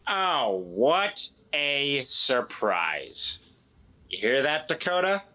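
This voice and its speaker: A man's voice: medium pitch, big pitch swings, very slow, pushed and bright, full character voice. A man playing a defensive, evasive and distrustful man in a tabletop drama, stammering and repeating himself as he explains. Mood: sarcastic, indignant.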